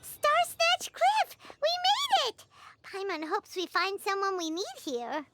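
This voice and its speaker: A woman voicing a tiny, childlike fairy companion in an anime game, squeaky, chirpy and curious. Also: huge pitch swings, takes it slowly, loud music behind the voice, heavily acted. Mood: excited, curious.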